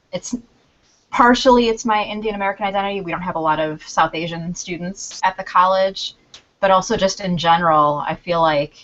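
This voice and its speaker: A woman's voice: lowish voice, a bit bright. A woman on college staff speaking thoughtfully, often searching for words, in a casual online group discussion. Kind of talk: casual video-call discussion. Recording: dull lo-fi call audio.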